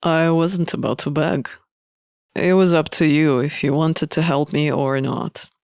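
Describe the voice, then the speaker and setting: A woman's voice: low-pitched, steady tone, unhurried, vocal fry, a little mellow, no echo, clean studio sound, pitched below the performer's own voice. A woman voicing a proud, aloof male alchemist prisoner in a visual novel, with a cool manner. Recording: music behind the voice.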